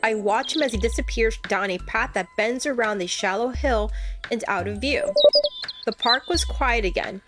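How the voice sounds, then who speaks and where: A woman narrating a visual novel aloud as a let's player, with expressive, conversational reactions. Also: a little high, moderate pace. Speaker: gaming streamer, let's player. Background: game music.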